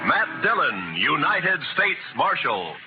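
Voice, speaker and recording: A man's voice: a little high, wildly swooping pitch, slow. A man announcing a show in dramatic old-time radio style. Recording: tinny old radio recording. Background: loud music.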